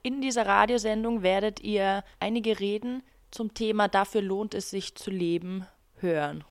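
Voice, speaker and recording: Woman, scripted radio narration, calm even read, dry close mic. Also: mid-range voice, moderate pace, dry recording.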